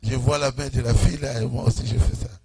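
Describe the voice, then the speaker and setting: A man pastor greeting a church congregation in French, speaking in short phrases and pausing for his interpreter. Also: mid-range voice, fairly even intonation. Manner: slow, pausing phrase by phrase for the interpreter, chuckling warmly. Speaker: preaching pastor. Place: church hall.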